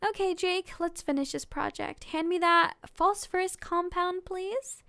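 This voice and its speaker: A woman voicing a sweet, bashful, brainy teenage schoolgirl in a read-aloud romance story, in an expressive way. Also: very high-pitched, a little slow, dry recording, full character voice. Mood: playful.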